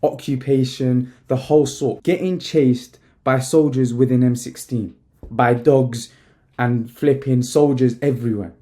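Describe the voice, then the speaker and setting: A young man from Palestine, talking to the camera in a casual, emphatic vlog style, with slang and a coach's pitch. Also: fairly even intonation, unhurried, warm tone, slight background noise. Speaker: online business coach.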